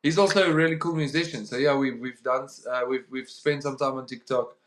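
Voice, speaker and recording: A young man with an Afrikaans accent, hosting a relaxed livestream chat. His voice sits at a middle pitch for a man and rings in a roomy, echoey space. He stalls often, restarting his words and filling gaps with um. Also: brisk. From South Africa. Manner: hesitant, repeats words, says um often. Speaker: livestream host. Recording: roomy echo.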